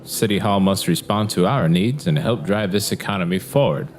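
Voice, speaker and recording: An actor playing a cheerful, self-satisfied city mayor in an audio drama, speaking like a pompous, folksy politician. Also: male voice, deep voice, normal speed, natural voice. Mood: rousing oratory. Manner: pompous, oratorical, smooth politician. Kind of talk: scripted audio drama acting. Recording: loud music behind the voice.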